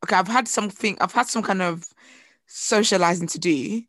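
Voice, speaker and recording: A woman's voice: mid-range voice, a bit bright, no echo. A young woman chats on a podcast, her voice slightly tired and strained. She laughs a lot and drags out her gripes in an easygoing way. The sound is dry and close. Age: young adult. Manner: laughs often, tired and drawn-out complaints. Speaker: podcast co-host. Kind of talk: casual chat.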